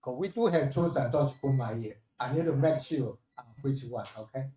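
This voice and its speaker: An older man with a Taiwanese accent speaks haltingly and humbly, giving a thank-you address as a professor. His voice is soft-edged and mellow, recovering after he lost it, and the room echoes around him. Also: medium pitch. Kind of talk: valedictory thank-you speech. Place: indoor hall at a university ceremony.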